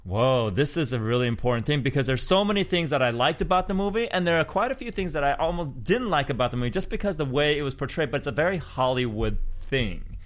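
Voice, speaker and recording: Male podcast host, relaxed chatty delivery, animated up-and-down pitch, mid-range voice. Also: quick pace, hardly any pauses. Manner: easygoing, chatty, lively intonation. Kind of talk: casual podcast interview.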